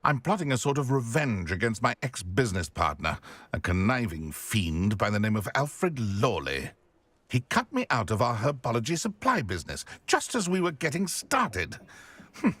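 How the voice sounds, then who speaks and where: An actor playing a pompous, indignant, vengeful businessman wizard in a video game, theatrical and husky. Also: fairly low pitch, a bit bright, dry recording, heavily acted. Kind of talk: video game character acting.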